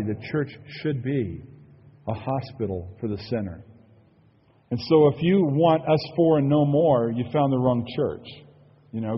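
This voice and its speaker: A man's voice: mid-range voice, husky, in a large hall, dull audio. A male pastor giving an earnest, conversational sermon, often saying "you know". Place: church sanctuary.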